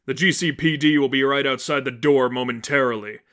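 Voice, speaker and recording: A man's voice: medium pitch, steady tone, brisk, fairly bright tone, close to an everyday voice. Grave male masked vigilante, acted by a man reading aloud, stern, commanding hero for audiobook. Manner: grave, authoritative.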